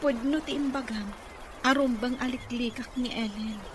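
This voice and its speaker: A woman's voice: on the high side, steady tone, moderate pace, lightly acted. A woman playing an earnest, loyal young sweetheart in an Ilocano audio drama. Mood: affectionate. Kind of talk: Ilocano radio-style drama acting. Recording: clearly noisy background.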